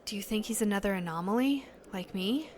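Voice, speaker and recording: A woman's voice: medium pitch, brisk, a little mellow, natural voice. An actress playing an uneasy but determined young woman in an audio drama, speaking in a hesitant, earnest, questioning way. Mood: puzzled. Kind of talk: naturalistic audio drama dialogue.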